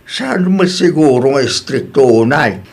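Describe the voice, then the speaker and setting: A man's voice: mid-range voice, lively intonation, measured pace, a little mellow, slightly rough. A Filipino man with an Ilocano accent playing a sympathetic older father who asks questions, in a comedy-drama. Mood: concerned.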